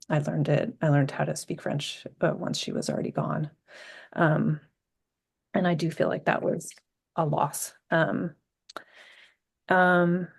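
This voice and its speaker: Panelist, woman from Vermont, heavy vocal fry, thoughtful and hesitant delivery with many pauses. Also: low-pitched, a little mellow. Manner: reflective, halting with many ums and restarts. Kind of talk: personal account on a panel.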